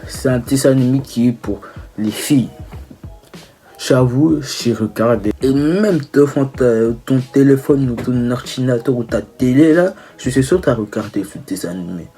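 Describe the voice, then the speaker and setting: Young man, mellow voice. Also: medium pitch, soft background music. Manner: teasing, halting with self-corrections. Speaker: video creator. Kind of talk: casual humorous commentary.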